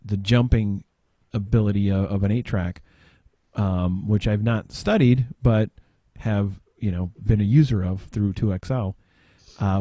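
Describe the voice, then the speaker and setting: Dry-sounding man, halting and chatty. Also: on the low side, mellow, no room sound. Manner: rambling, halting explanations with trailing pauses. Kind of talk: casual podcast chat.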